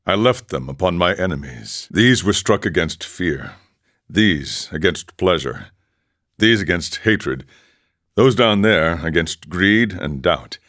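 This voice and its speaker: A calm weary wandering monk for a video game, really deep male voice, formal and philosophical, lots of rise and fall. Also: no room sound, studio quality, full character voice. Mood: wry, unbothered. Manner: measured, philosophical, formal speech. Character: serene, world-weary wandering ascetic monk. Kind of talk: video game character dialogue.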